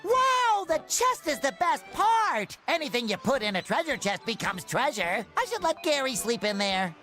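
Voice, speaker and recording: A man's voice: very high-pitched, very animated, moderate pace, pushed and bright, faint music behind, heavily acted. A man voicing a boyish, naive, endlessly optimistic cartoon sea sponge in a video game, eager and earnest. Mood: excited.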